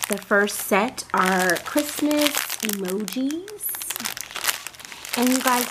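A woman hosting a casual unboxing vlog, hoarse from a cold, chatty and gushing as she talks to her viewers. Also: medium pitch, a little hiss or hum, low-quality recording. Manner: chatty, gushing, addresses viewers as "you guys". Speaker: crafting channel host.

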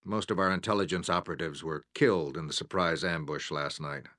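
Military briefing officer for a game: low, grave, measured, formal. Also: male voice, sharp tone. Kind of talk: video game mission briefing.

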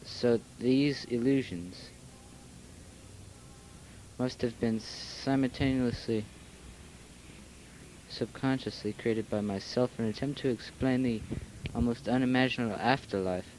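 A man delivering a philosophical monologue in an amateur audio drama, solemn and deliberate. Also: medium pitch, steady tone, darkish tone, a little hiss or hum, rough recording. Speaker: narrator of own story.

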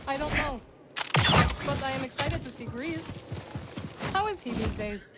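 A woman's voice: high voice, expressive, a little slow, over loud music. An actress playing a self-possessed young woman adventurer in a video game, terse, wry and direct. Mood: calm, fond. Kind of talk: video game cutscene acting.

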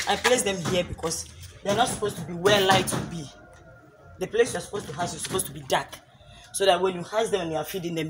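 A woman's voice: on the low side, poor audio. A middle-aged woman from Ghana, a fish farmer, earnestly explaining her work step by step outdoors in her yard. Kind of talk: casual explanation on a farm tour. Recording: outdoor recording with clear background noise.

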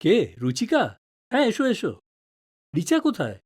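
A woman's voice: mid-range voice, huge pitch swings, moderate pace, darkish tone, breathy edge. A woman playing a wary, joking middle-aged father in an audio drama, with a teasing, exclamatory manner. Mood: friendly, chatty. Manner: teasing, mock-pompous, exclamatory.

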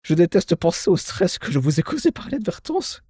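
A man's voice: sits high, big pitch swings, fairly quick, mellow, slightly rough, no echo, studio quality, voice pushed up, exaggerated voice. A man voicing an ashamed, earnest fan confessing apologetically in a game fan dub, increasingly strained. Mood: ashamed, anguished. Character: ashamed, anguished fan reading out a confession letter.

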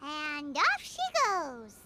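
A woman voicing a tiny, cheeky, excitable fairy companion with a squeaky childlike voice in a video game. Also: very slow, heavy background noise, exaggerated voice. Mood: curious.

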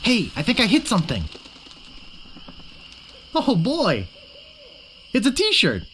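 A man's voice: high-pitched, wildly swooping pitch, slow pace, a bit bright, slight background noise, full character voice. A man voicing a naive, earnest young would-be pirate in a comic adventure game, boyish, polite and a bit wimpy. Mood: cheerful.

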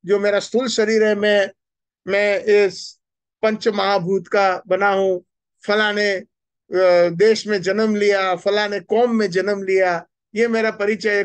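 High-voiced man giving an animated sermon. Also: dry recording. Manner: animated, gesturing, asks the audience questions. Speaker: spiritual speaker. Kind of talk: Hindi devotional lecture. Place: temple hall indoors. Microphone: stand mic close to mouth.

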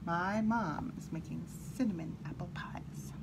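A woman storyteller reading a children's picture book aloud in an animated, expressive way, with brief asides. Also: on the low side, unhurried, in a whisper. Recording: clearly audible background noise.

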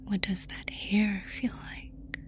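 A woman playing a casual, eager, playful female alien in an ASMR roleplay, speaking in an informal, breathy whisper. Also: medium pitch, little pitch movement, takes it slowly, lightly acted. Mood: eager, curious. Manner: informal, reassuring.

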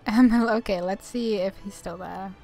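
A woman's voice: medium pitch, steady tone, a little slow, gravelly, warm tone. A woman giving casual commentary on her own game playthrough and reading dialogue choices aloud, easily amused. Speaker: gamer narrating own playthrough. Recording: music behind the voice.

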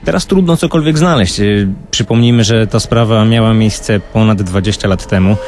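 Low-pitched man recounting crimes, measured. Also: a little mellow, slight background noise. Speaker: true-crime expert guest, podcaster. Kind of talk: radio discussion recounting crime cases.

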